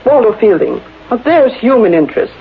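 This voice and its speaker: An actress playing a frank, persistent newspaper secretary in a 1930s radio drama. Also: female voice, on the high side, very animated, brisk. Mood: earnest, urging. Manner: frank, persistent, nagging gently. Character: earnest, exasperated newspaper secretary, a woman. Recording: tinny, noisy 1930s radio broadcast.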